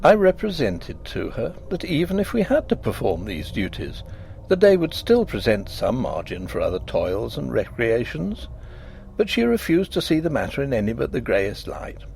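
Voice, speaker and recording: A man narrating a gothic ghost story in a measured, expressive reading voice. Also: mid-range voice, gravelly, slight background noise.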